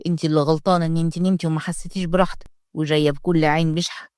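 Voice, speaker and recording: A woman's voice: deep voice, level tone, racing, darkish tone, clean tone, no echo, clean studio sound, lightly acted. A woman voicing a strict, domineering father, giving curt orders in a storybook reading. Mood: stern. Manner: commanding, curt, lays down rules. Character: stern domineering Upper Egyptian man, strict father.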